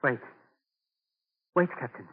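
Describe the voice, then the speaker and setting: A man's voice: slow pace, natural voice. Actor as solemn, homesick Native man, old radio. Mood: urgent searching. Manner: solemn, simple formal phrasing. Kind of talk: 1940s radio drama acting. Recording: thin, narrow-band 1940s radio broadcast.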